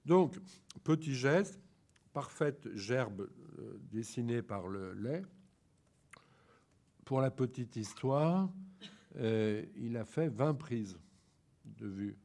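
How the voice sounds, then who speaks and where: A man with a bad head cold giving an informal lecture on a photograph, talking slowly with many ums and restarts. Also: medium pitch, expressive, mellow.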